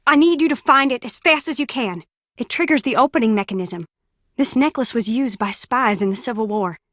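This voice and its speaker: An actress plays a troubled young woman in a mystery game, with a fairly high voice. She is earnest and urgent, pleading as she confides. The sound is close and dry. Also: a little fast, dry recording, natural voice. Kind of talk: scripted game voice acting.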